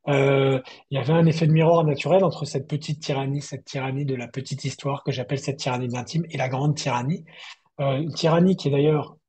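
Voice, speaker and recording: A male novelist from southern France in a reflective online interview, speaking thoughtfully and haltingly, often restarting his words. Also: medium pitch, steady tone, darkish tone, slightly rough. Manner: thoughtful, halting delivery with repeated word starts. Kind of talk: reflective online literary conversation. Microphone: online video call.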